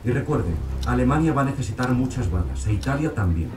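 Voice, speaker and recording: An actor playing a demanding, menacing dictator in an audio drama, curt and commanding. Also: male voice, mid-range voice, animated, fast, music in the background, lightly acted. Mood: cold, demanding. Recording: roomy, distant from the mic.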